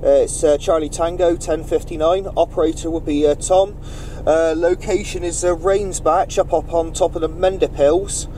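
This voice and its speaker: A man running a CB radio net, chatting informally in radio jargon with halting phrasing. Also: a little high, steady tone, warm tone, a little hiss or hum.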